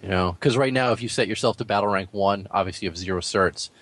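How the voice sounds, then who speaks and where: Male gaming podcaster, slightly deep, no room echo, casual and considered delivery. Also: quick pace, a bit bright. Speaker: podcast co-host. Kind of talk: casual discussion about gaming.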